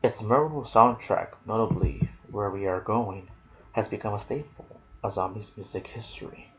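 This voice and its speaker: A soft-spoken man narrating video game lore in an ASMR style, with a hushed, deliberate delivery in short phrases. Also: fairly low pitch, low-quality recording. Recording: clear background noise.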